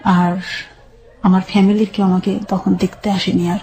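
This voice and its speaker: Sombre, even-toned Bangladeshi woman. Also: medium pitch, moderate pace, poor audio. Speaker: guest telling her life story. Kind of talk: personal testimony in a radio interview.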